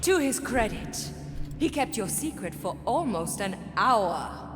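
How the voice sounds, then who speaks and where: Haughty game warlord queen, slow n menacing, highish voice, big echoey hall w music. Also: very animated. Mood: scornful. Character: imperious, vengeful monster queen with a private army. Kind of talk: dramatic video game acting. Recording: echoing hall, music behind.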